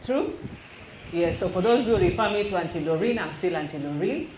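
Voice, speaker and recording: A woman's voice: on the low side, lively intonation, brisk, a little mellow. A middle-aged woman from Western Kenya with a Kenyan accent, a youth minister leading an interactive teaching and quizzing her audience. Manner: engaging, quizzes the audience, mixes in Swahili. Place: church hall. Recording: echoey hall with faint noise.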